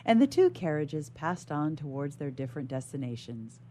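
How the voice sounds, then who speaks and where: A woman's voice: low-pitched, sing-song, moderate pace, dark tone, slight background noise. A woman reading a classic novel aloud as a guest reader on a radio show.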